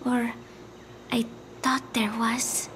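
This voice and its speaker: Frail, haunted young woman for game drama: high, gentle, breathy, confused, slow and hesitant delivery. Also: flat delivery, a little hiss or hum. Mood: dazed. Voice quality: breathy, often dropping to a whisper. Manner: halting, hesitant, fragmented phrases. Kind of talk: dramatic video game cutscene acting.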